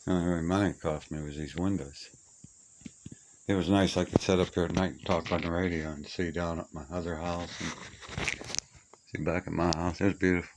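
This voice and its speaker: A man's voice: low voice, fairly even intonation, brisk. A middle-aged or older man rambling casually in a folksy, plainspoken way, musing to himself as he shows his things around. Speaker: hobbyist showing his old radio gear. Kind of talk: casual rambling walk-through. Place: cluttered old room being cleared out. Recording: noisy amateur recording, clicks, insect chirps.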